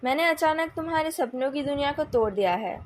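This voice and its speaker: An Indian-accented woman voicing a young male company president in a novel, calm, measured and coolly taunting. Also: high-pitched, slight background noise. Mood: calm, cutting.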